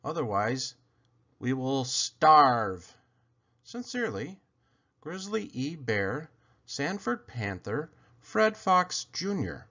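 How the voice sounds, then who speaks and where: A man's voice: medium pitch, sing-song, slow pace, sharp tone, highs cut off, exaggerated voice. A man reading as formal, desperate forest predators making a pompous complaint in a children's storybook. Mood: formal, aggrieved. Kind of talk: read-aloud children's storybook.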